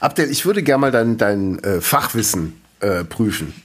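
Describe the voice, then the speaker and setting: A man co-hosting a podcast who teases his partner in a halting, filler-heavy way. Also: on the low side, lively intonation, husky. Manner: teasing, halting, many fillers. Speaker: podcast co-host. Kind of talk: casual podcast chat.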